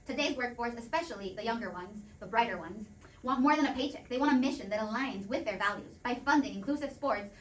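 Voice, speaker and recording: A woman giving a scripted debate argument as a panelist in a business role play. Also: fairly high pitch. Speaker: panelist playing an executive. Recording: roomy, distant from the mic.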